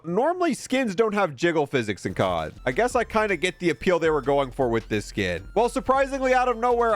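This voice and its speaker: Higher-voiced man, casual game commentary. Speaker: gaming video creator reviewing a game bundle.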